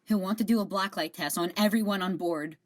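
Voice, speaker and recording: A woman's voice: medium pitch, a little fast, close to an everyday voice. A woman playing a nervous young female security officer in an amateur audio drama, blunt and anxious. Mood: uneasy.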